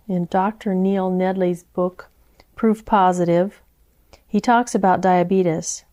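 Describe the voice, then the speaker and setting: A woman presenting scripted health information in a measured, explanatory manner. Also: mid-range voice, dark tone.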